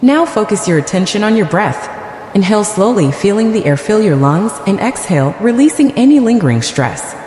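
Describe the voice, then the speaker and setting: A woman leading a scripted guided meditation in a gentle, soothing, deliberate manner. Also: mid-range voice, sing-song, roomy sound, long reverb tail, a little hiss or hum.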